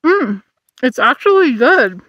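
A woman's voice: high voice, very animated, slow pace, no echo, lo-fi sound. A woman in her thirties reviewing camping gear in a casual vlog, musing aloud while she eats, recorded outdoors in a meadow.

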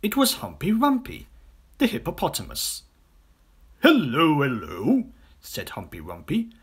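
A man reading a children's audiobook story as an expressive narrator. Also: high voice, normal speed, breathy edge. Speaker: audiobook reader.

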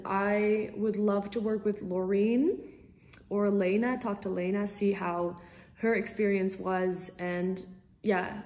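A woman's voice: medium pitch, warm tone. A young woman from the Netherlands, a singer-songwriter answering interview questions casually over a video call from a small room at home, bubbly and eager with frequent ums.

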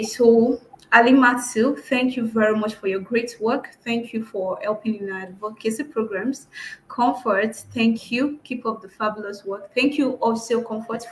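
Echoey woman hosting a live stream. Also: a little high. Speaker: host. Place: indoor room, on a video call. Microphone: video call device mic. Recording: echoey room, noisy video call audio.

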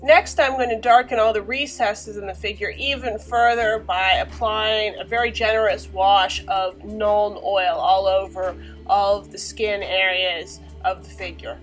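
A young woman, slightly hoarse from a cold, chatting casually through a painting tutorial in a small bedroom, recorded on the camera mic with many fillers. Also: medium pitch, steady tone, unhurried, faint music behind, rough recording.

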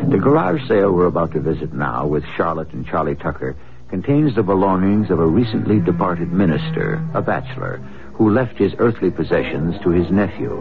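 Deep, gravelly radio mystery storyteller. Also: male voice, heavily acted. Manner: measured, theatrical storyteller. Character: ominous, urbane storyteller of eerie tales. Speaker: host and narrator of a radio mystery series. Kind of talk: dramatic scripted narration, radio mystery. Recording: muffled lo-fi radio recording, music behind.

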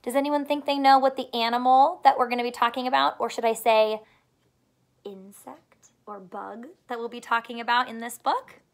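A woman's voice: a little high, fairly even intonation, normal speed, gravelly. A woman in her thirties reading a picture book aloud as a teacher, explaining simply and posing questions to young listeners. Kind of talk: children's story read-aloud with simple teaching. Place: small furnished room at home, indoors.

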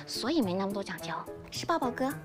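A young actress playing a lively, scheming peasant girl in a period drama, playful and coy in her teasing. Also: medium pitch, fast talker, natural voice. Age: young adult. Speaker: lead actress. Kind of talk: television period drama acting. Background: music score.

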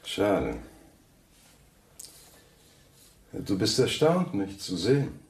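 An actor playing a suave, wealthy banker who talks smoothly and with polite condescension in a radio play. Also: male voice, lowish voice, very animated, measured pace, exaggerated voice. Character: suave, wealthy banker, coolly probing his rival. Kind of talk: German radio drama dialogue.

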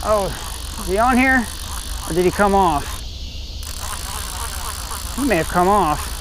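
Man, relaxed fishing chat, outdoor dock, action camera, faint background noise. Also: sits high, measured pace. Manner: relaxed, laid-back, says dude. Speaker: fishing channel host. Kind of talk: casual chat while fishing. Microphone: head-mounted action camera.

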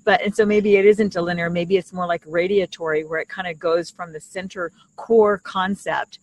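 A middle-aged woman co-hosting a casual podcast, explaining things haltingly and rephrasing as she goes, speaking outdoors into a shared desktop microphone. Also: fairly low pitch, steady tone, low-quality recording. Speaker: podcast co-host. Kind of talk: casual conversational podcast talk. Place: outdoors in a leafy garden. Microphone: shared desktop condenser microphone.

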